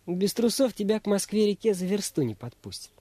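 A cocky young boy in a radio drama, with hugely animated pitch and a soft, mellow tone. He teases and talks down to his friend in a dry, close recording. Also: brisk, husky, no echo. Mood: teasing. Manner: superior, teasing. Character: confident, know-it-all young boy, bragging to friend. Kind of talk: radio play acting.

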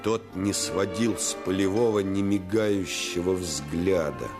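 A man narrating a boyhood adventure in the first person for a radio play, in a measured, literary storytelling manner. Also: deep voice, steady tone. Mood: tense, dramatic. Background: music.

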